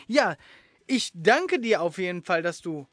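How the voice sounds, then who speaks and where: A man's voice: high-pitched, animated, takes it slowly, a bit bright, no room sound. Informal male podcast host, dry close sound. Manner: informal, slangy and encouraging. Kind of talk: casual interview chat with quick-fire questions.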